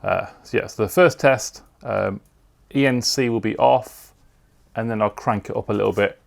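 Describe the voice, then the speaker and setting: A man's voice: lowish voice, moderate pace, gravelly. A man talking casually through a product unboxing and review in an informal way, with short asides.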